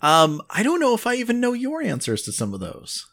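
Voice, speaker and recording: A man co-hosting a casual podcast, easygoing and agreeable, often pausing and restarting his sentences. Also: very high voice, huge pitch swings, fairly bright tone. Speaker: podcast co-host.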